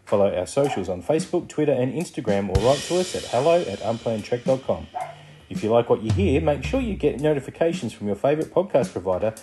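A man's voice: mid-range voice, sing-song, fast talker, over music. A man reading a scripted podcast outro as the announcer.

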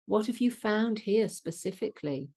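A woman's voice: medium pitch, lively intonation, normal speed, warm tone, slightly rough. A woman from the English Midlands hosting a conversational interview, asking encouraging questions and affirming her guest. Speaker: interview host.

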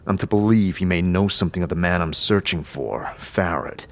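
Man playing a low-voiced, guarded wanderer. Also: normal speed. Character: reserved, questioning male fantasy wanderer, laconic. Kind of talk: audio drama dialogue.

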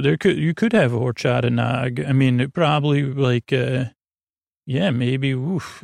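A gravelly man rambles through a bedtime podcast monologue. He wanders off on tangents, stops and restarts, and leaves sentences hanging. The sound is close and dry, with a mid-range pitch. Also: no room sound. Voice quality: creaky, gravelly. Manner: meandering, halting, tangential, with trailing unfinished sentences. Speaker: podcast host. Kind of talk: rambling bedtime monologue to help listeners fall asleep.

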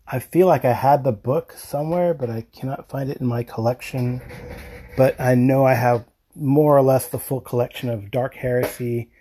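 Mellow, flat-toned man leaving a message. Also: medium pitch, normal speed, slightly rough, rough recording. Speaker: podcast caller. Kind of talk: casual voice message.

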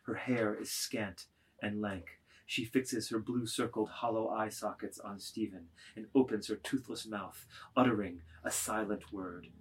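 A man's voice: lowish voice, fairly even intonation, measured pace, husky, poor audio. A man reading scripted literary narration expressively, with rhythmic, emphatic delivery of lists of words.

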